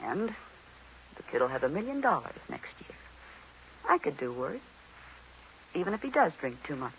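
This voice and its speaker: An actress playing a cool, tough, sardonic femme fatale in an old-time radio noir drama. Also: female voice, low voice, sing-song, normal speed. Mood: bitter resolve. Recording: hissy, dull vintage radio recording.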